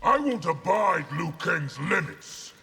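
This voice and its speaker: A boastful, gravelly warlord for a video game, pitched high. He is defiant and theatrical, hungry for conquest, in long reverb over loud noise. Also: big pitch swings, takes it slowly, heavily acted. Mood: ambitious, defiant. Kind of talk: video game character dialogue.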